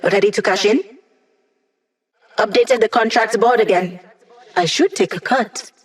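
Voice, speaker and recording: A woman's voice: medium pitch, a little slow, lightly acted. An actress playing a young female hacker and tech ally in a video game, upbeat, casual and playfully sardonic. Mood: confident, instructive.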